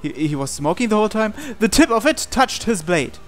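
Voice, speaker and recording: A man's voice: high-pitched, big pitch swings, fairly quick, fairly bright tone. A man reading a visual novel aloud as a let's player, with theatrical storytelling and casual asides. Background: game music.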